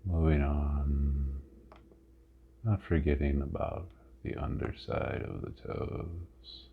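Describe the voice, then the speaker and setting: A man leading a guided body scan meditation in an ASMR style, hushed, with drawn-out phrases and long pauses. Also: very deep voice, fairly even intonation, creaky. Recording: muffled phone-like sound with audible noise.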